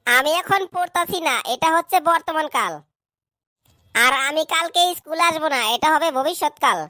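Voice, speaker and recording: High-voiced cheeky cartoon schoolboy played by a woman. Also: moderate pace, pushed and bright, no room sound, clean studio sound. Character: cheeky schoolboy pupil, eager and clever. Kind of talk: cartoon comedy dialogue.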